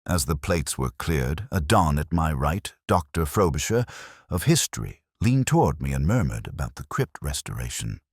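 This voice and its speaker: A man delivering scripted literary detective narration in a measured, wry, reflective and formal manner. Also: extremely low pitch, sing-song, breathy edge, no echo, clean studio sound. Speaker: first-person narrator of a detective story.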